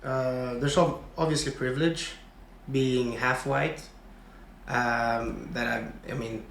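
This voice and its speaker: A man's voice: mid-range voice, fairly even intonation, slow pace, gravelly, a bit bright. Young Swedish-native man, guest, hesitant and filler-heavy, echoey room with faint hiss. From Sweden. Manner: hesitant, many fillers and restarts. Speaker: podcast guest. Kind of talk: casual conversation.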